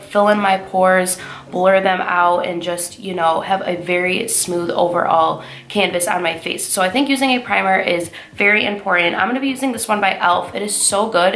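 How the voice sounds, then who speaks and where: A woman's voice: fairly low pitch, fairly bright tone. A young woman in her twenties giving a casual makeup tutorial, chatty and upbeat with many filler words, sounding nasal as she gets over a cold. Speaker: beauty vlogger.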